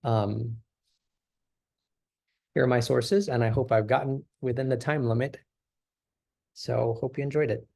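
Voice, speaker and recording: A man presenting as an English teacher, speaking in an explanatory way with frequent pauses and restarts. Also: medium pitch, fairly even intonation, gravelly, dark tone. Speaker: ESL teacher giving a presentation. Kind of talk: recorded class presentation.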